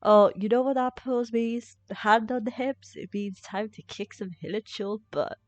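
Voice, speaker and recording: A woman's voice: fairly high pitch, moderate pace, dry recording. A woman streaming a video game with casual, rambling commentary, half talking to herself. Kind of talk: casual live gameplay commentary. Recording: dry close sound, slightly dull band.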